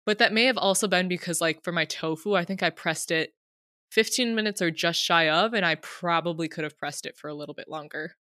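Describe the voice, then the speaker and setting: A woman's voice: lowish voice, brisk, sharp tone, dry recording, clean studio sound. Stuffy-nosed young woman, chatty podcast host. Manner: chatty, addresses listeners directly. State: stuffy nose from a cold. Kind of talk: casual chat.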